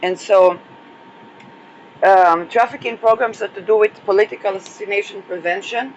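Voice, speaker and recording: Hoarse woman host, solo astrology talk, hesitant and rambling, light background noise. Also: mid-range voice, moderate pace, rough recording. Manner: hesitant, many fillers and restarts. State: losing her voice.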